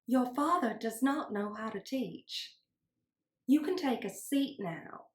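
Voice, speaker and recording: A woman's voice: a little high, animated, takes it slowly, echoey room, slight background noise. A woman playing a prim, condescending schoolteacher in a dramatic book reading, with a sugary, patronizing manner. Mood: condescending, firm.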